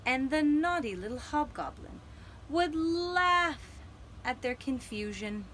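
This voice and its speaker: Female children's storyteller, gentle and deliberate, lilting over a wide range, with slight background noise. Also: high-pitched, animated. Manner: slow, deliberate, dramatic phrasing with pauses. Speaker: storyteller and puppeteer.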